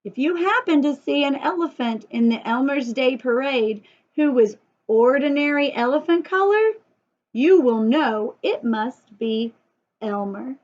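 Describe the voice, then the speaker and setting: Slow, high-voiced woman reading to children. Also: lo-fi sound. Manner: expressive, sing-song, asks listeners questions. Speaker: storyteller and teacher for young children. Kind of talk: read-aloud children's story with chatty asides to young viewers.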